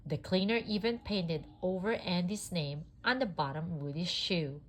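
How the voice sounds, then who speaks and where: A woman reading a children's story aloud in a deliberate, expressive narrating style. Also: lowish voice, a little hiss or hum. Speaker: storybook reader.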